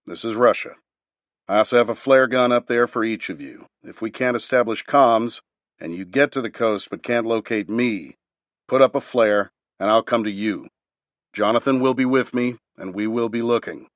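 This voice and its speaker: A man's voice: mid-range voice, no room sound, highs cut off, natural voice. A man reading aloud, voicing a commanding male former senator giving firm instructions.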